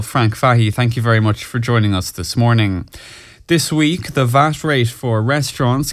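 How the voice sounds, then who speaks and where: A man reading regional radio news and interviewing a guest in a measured, formal way. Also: medium pitch, no echo, studio quality.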